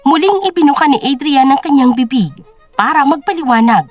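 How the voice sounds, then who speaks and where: High, lively woman narrator. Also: a little fast, soft background music. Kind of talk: dramatic scripted story narration.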